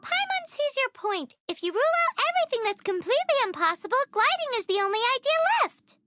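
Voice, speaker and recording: Casting: fretful childlike fairy sidekick in an anime game; extremely high squeak, very noisy capture. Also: female voice, lively intonation, fast. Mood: resigned. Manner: childlike, squeaky, speaks of herself in third person. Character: tiny childlike floating fairy companion, fretful and chatty. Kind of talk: anime-style video game voice acting. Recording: loud noise over game capture.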